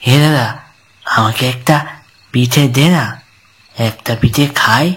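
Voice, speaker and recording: A woman's voice: very deep voice, slow pace, fairly bright tone, slightly rough, exaggerated voice. A woman voicing a greedy, wheedling young boy in a horror cartoon, with faint night noise behind. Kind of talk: Bengali horror cartoon dub.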